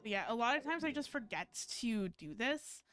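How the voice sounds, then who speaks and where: A woman game streamer with a fairly high, smooth and clear voice. She chats casually, rambling and thinking out loud as she plays. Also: lively intonation, moderate pace.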